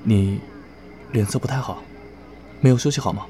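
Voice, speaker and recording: A man playing a composed, attentive young player in a horror audio drama, calm, measured and serious. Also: on the low side, background noise, lightly acted. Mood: concerned.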